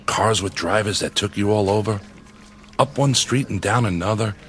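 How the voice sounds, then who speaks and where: An actor playing a meek, nervous office worker in a radio drama, hesitant and deferential. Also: male voice, fairly low pitch, normal speed, a bit bright, natural voice. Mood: mild, chatty. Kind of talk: old-time radio style drama acting.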